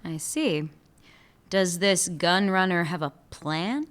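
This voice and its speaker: A woman's voice: lowish voice, lively intonation, takes it slowly. A woman acting a dry, sarcastic police chief in a radio play. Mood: guarded. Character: sardonic female police chief.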